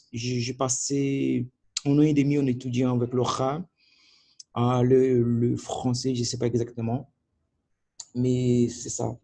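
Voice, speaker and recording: Halting man with a Portuguese accent. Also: mid-range voice, vocal fry, dark tone. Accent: Portuguese-speaking learner of French. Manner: halting, searching for words, many restarts. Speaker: language learner. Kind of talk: video diary monologue. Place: at home by his computer.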